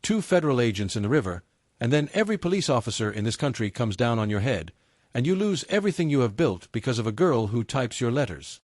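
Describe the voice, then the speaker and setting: A man voicing a loyal female secretary in an audiobook, low and steady under strain. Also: fast talker, no echo, clean studio sound. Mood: strained confession. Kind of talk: audiobook character dialogue.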